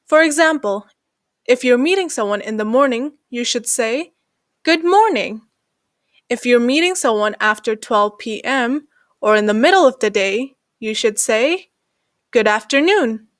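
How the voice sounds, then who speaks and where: A woman narrating an English lesson as the channel host, slowing down to read example sentences. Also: on the high side, expressive, dry recording, studio quality. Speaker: English teacher.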